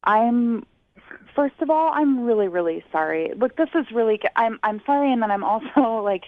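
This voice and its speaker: A woman's voice: on the high side, expressive, moderate pace, dry recording. A woman speaking candidly as a guest over the phone, tactful and hesitant, hedging as she recounts things. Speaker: phone-in guest on a radio segment. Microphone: phone call. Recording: muffled, band-limited phone line.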